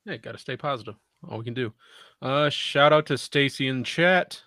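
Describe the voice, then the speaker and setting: A man's voice: medium pitch, moderate pace, pushed and bright, no room sound. A man co-hosting a live podcast in a casual way, tossing in dry quips and reading out the chat. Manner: dry quips, reads chat, short replies. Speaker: podcast co-host.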